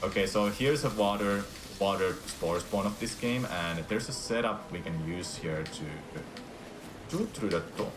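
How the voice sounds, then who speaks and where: A man's voice: fairly low pitch, unhurried, echoey room, noisy background. A Finnish man with a Finnish accent, a speedrunner chatting casually and laughing often as he plays live. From Finland. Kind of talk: casual commentary while gaming.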